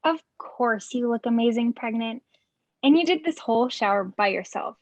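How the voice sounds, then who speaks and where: A woman acts an excitable friend in her thirties at a short film table read. The voice is high and eager, with a gushing, energetic manner. It sounds dry, with little room around it, over a video call. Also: moderate pace, dry recording, lightly acted. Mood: bubbly, gushing.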